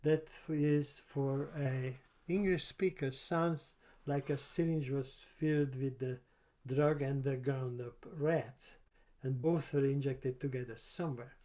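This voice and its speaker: An older man with a Hungarian accent teaches over a video call, his voice dark and mellow at an ordinary middle pitch. He stumbles and repeats words as he works through his slides, pausing on fillers. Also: fairly even intonation. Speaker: professor giving a webinar lecture. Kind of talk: online lecture over slides.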